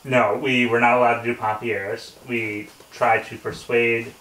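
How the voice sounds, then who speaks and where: A man hosts a relaxed, conversational interview in a bright, forward voice. He sounds slightly away from the mic in a very echoey room, with some faint noise behind him. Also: medium pitch, a little slow, far from the mic. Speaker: podcast host interviewing firefighters. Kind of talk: casual conversation. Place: indoor workshop.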